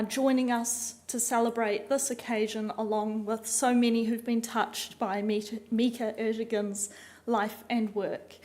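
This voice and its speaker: Young woman with a New Zealand accent, slightly high voice, earnest and composed in a formal speech. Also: darkish tone. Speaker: doctoral student giving a speech.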